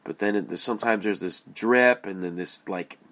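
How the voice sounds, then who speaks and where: Stuffy nosed guy, kinda low voice, rambly podcast chat w lots of ums. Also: normal speed, fairly bright tone. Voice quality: nasal, stuffy. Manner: rambling, many fillers and restarts. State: stuffed-up, congested from allergies. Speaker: podcast host. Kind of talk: casual solo podcast monologue.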